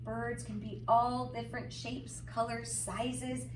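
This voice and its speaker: A woman reading a picture book aloud to young children like a teacher, with expressive, sing-song, emphatic phrasing and simple explanatory asides. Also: a little high, slow pace. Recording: roomy, distant mic, faint background noise.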